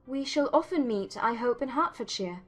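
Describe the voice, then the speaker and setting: A woman playing a witty, spirited young gentlewoman in an audiobook drama, expressive and articulate, teasing yet sincere. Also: fairly high pitch, normal speed, soft background music. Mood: friendly.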